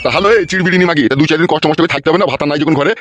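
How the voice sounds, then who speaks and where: A man's voice: a little high, rapid-fire, a bit bright, muffled recording, a little hiss or hum, natural voice. A man playing a crude, scolding older village father-in-law in a comic cartoon. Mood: argumentative. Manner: blunt, cursing, argumentative.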